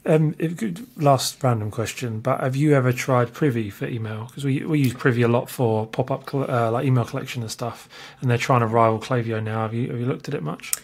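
A man's voice: mid-range voice, a little fast, vocal fry, hardly any pauses, husky. A man hosting a podcast, asking questions in a conversational interview style. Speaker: podcast host.

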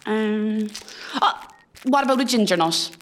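A woman's voice: medium pitch, expressive, a little slow, a little hiss or hum, close to an everyday voice. A woman playing a good-humoured, teasing hospital tea lady in an amateur radio comedy, with an Irish accent and a bantering manner. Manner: bantering, mock-exasperated.

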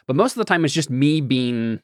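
A man's voice: medium pitch, lively intonation, a little fast, dry recording, clean studio sound. A man hosting a podcast in casual chat, explaining informally and often checking in with his listener. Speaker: podcast host.

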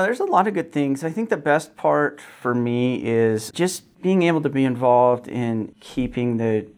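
A man's voice: fairly low pitch, darkish tone. A man in his forties from Wyoming, answering interview questions as a city council member in an earnest, modest, conversational way. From Wyoming, United States. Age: 40s.

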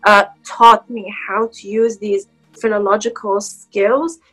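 A woman's voice: medium pitch, little pitch movement, slow pace, a bit bright, faint music behind, low-quality recording. A woman whose first language is Arabic, speaking as a guest scholar in a casual academic conversation, with many fillers and restarts.

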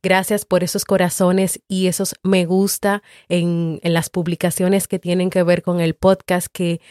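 A woman from the Republic, hosting a podcast and thanking her listeners in an unhurried, grateful way. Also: fairly low pitch, level tone, a little mellow, no echo, clean studio sound. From Dominican Republic. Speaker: podcast host. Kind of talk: heartfelt thank-you to listeners.

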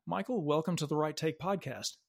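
A man hosting a podcast, introducing his guest and asking questions in a measured, slightly hesitant way. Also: a little high, gravelly. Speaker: podcast host. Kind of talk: interview hosting.

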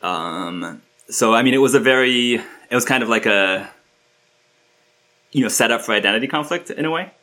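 A man's voice: mid-range voice, lively intonation, normal speed, pauses often, bright, forceful tone. A man from Turkey, a guest musician, talking casually in an interview, rambling with many fillers and restarts.

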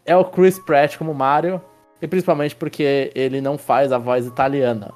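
A man's voice: mid-range voice, flat delivery, normal speed, darkish tone, faint music behind. A man hosting a casual film review podcast, rambling with restarts and tag questions as he leads the talk. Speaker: podcast host.

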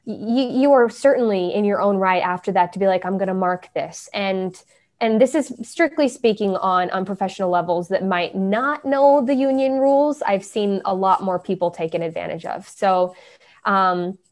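A young woman speaking at an ordinary mid pitch, chatting loosely as an interview guest. She pads her answers with fillers and restarts now and then. Her tone is relaxed and conversational. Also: moderate pace. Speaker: guest, Broadway performer.

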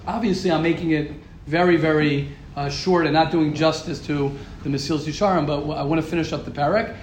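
A man's voice: fairly high pitch, a little mellow, roomy sound, a little hiss or hum. A man teaching a Torah class to a live group, emphatic and repetitive, asking rhetorical questions. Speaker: rabbi giving a class. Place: room with a live audience.

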